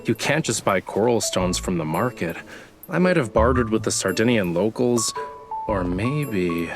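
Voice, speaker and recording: A man's voice: lowish voice, expressive, unhurried, music in the background. An actor playing a teasing, smug young male painter in a video game, with a languid, coy manner. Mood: teasing, sly.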